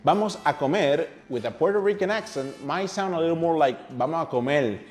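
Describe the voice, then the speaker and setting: A man from Venezuela with a Venezuelan Spanish accent, a native Spanish speaker presenting a language lesson in a playful, animated, conversational way. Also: on the high side, brisk. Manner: playful and animated, with rhetorical questions and asides to the viewer. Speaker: language teacher, video host. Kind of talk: upbeat, conversational scripted lesson to camera.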